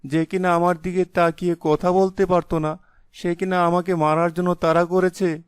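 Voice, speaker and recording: Guy doing a dramatic young village husband for a cartoon, high smooth voice, all bluster but easily cowed. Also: level tone, moderate pace, dry recording, studio quality, lightly acted. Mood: worried. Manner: exclaiming, melodramatic. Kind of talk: animated fairy-tale voice acting.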